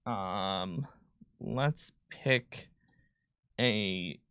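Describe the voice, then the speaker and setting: Guy game designer on a stream, bright crisp-edged voice, dry close sound, walks thru rules kinda pedantically. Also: fairly low pitch, drawn-out words, no room sound. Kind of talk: casual tabletop rules explanation.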